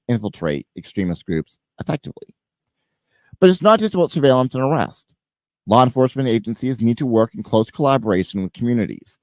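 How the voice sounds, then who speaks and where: Male host with a head cold, mid-pitched, lively rises and falls, close dry mic. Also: no room sound. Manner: emphatic, list-like delivery with swings in level. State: stuffed up with a cold. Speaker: podcast host. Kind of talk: scripted monologue then casual interview.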